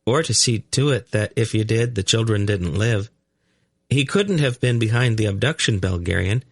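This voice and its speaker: Man doing a calm, knowing young boy, pitched up. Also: moderate pace, breathy edge, dry recording, clean studio sound. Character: calm, uncanny young blond boy who reads minds.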